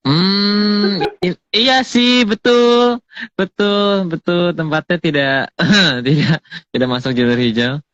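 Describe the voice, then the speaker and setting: A man's voice: sits high, slow pace, a bit bright, no room sound. Agreeable man hosting a casual chat. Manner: agreeable, backchannels and moves things along. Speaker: host. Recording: dull lo-fi dry audio.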